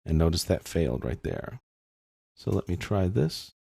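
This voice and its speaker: A man narrating a casual software tutorial as an instructor, informally thinking aloud through each step. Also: deep voice, very animated, normal speed, gravelly, slightly rough, no room sound, studio quality.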